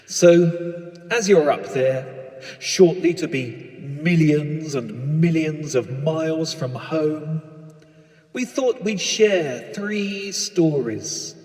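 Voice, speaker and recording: A man playing a blandly official, falsely reassuring launch controller, measured, repetitive and deadpan, in a comic spoken-word piece. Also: a little high, in a large hall. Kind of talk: comic spoken-word radio-style sketch.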